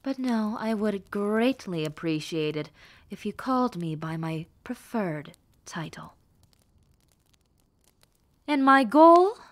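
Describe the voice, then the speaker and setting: A woman playing a haughty, menacing rebel mistress in a fantasy audio roleplay, speaking formally and condescendingly to the listener with theatrical pauses. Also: medium pitch, big pitch swings, no room sound. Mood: commanding, imperious. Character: haughty, menacing villainess, rebel mistress with sweet cruel poise.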